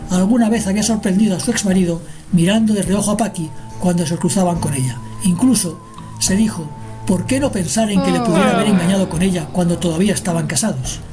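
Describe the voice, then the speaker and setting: A woman's voice: fairly low pitch, fast. A woman narrating a scripted radio drama as the storyteller. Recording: music behind the narration.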